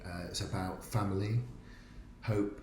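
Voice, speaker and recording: A man's voice: sits low, steady tone, a little slow, darkish tone. A man from the North of England explaining his own writing as a guest, in an echoey room with music behind. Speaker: guest musical theatre writer. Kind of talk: explaining own creative work.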